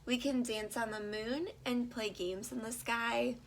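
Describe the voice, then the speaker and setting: A woman reading a rhyming picture book aloud to young children, pausing to explain and put simple questions to her listeners. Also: on the high side, fairly even intonation, a little hiss or hum.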